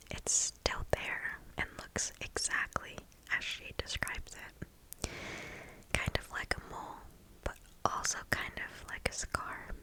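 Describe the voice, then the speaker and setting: ASMR storyteller, female. Hushed whisper throughout, unhurried and deliberate, right up on the mic. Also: rough recording. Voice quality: breathy unvoiced whisper. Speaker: ASMR host reading listener stories. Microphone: close microphone.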